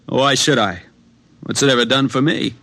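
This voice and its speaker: A chummy young friend with a cold in a vintage radio drama. He cracks jokes in a bright, forward voice. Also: medium pitch, normal speed, dry recording. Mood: joking. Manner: jokey, chummy. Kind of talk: 1950s radio drama acting.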